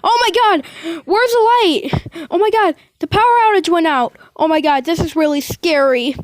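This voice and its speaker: A woman's voice: extremely high pitch, moderate pace, dry recording. A woman hosting a reaction to a scary video, exclaiming dramatically and repeating herself.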